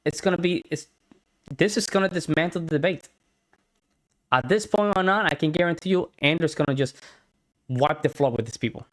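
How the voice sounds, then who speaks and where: Puerto Rican man, halting commentary. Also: on the high side. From Puerto Rico. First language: Spanish. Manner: halting, repeats words while searching for them. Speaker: reaction video host. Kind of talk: casual commentary on a clip.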